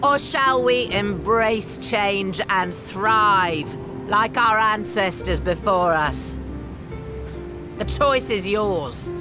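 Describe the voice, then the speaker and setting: An actress playing a resolute woman admiral giving a formal, rousing speech in a game cutscene. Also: mid-range voice. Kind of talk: video game cutscene acting. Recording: music playing underneath.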